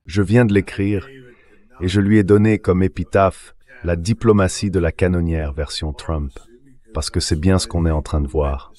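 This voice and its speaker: A man's voice: deep voice, steady tone, normal speed, darkish tone. A man's synthetic dubbing voice giving political commentary as an interview guest.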